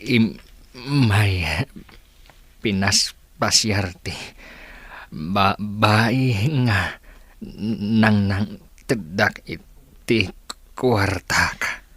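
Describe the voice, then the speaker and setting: Man playing ailing father, very slow halting speech, husky, lowish, humble, audio drama. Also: a bit bright, no echo, heavily acted. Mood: labored, struggling.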